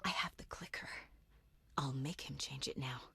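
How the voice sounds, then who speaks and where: A woman playing a determined, inquisitive FBI agent in a video game, speaking in measured, clipped phrases. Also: extremely low pitch, creaky, sharp tone, breathy edge, dry recording, in a whisper, full character voice. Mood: bitter, hushed. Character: determined female FBI agent and mother, sharp, inquisitive investigator. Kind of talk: video game dialogue, investigative monologue.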